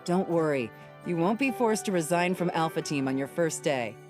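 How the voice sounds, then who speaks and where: Game role: authoritative squad captain, lowish voice, firm, matter-of-fact delivery, music behind. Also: female voice, animated, normal speed. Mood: reassuring. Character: composed, authoritative female captain of a hunter squad. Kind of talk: video game voice acting.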